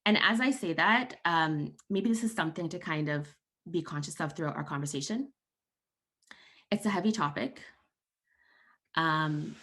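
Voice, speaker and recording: A low-pitched woman speaks as a counsellor hosting an online panel. Her tone is calm and reassuring as she explains ideas step by step. She is heard over a video call. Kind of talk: gentle psychoeducation talk.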